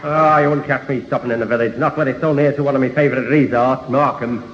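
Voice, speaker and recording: An actor playing a jovial, blustering neighbour who tells boastful tall tales pompously in a 1970s radio sitcom. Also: male voice, mid-range voice, steady tone, fast, close to an everyday voice. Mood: breezy. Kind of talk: 1970s radio sitcom acting before an audience. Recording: muffled old radio hall recording, hiss.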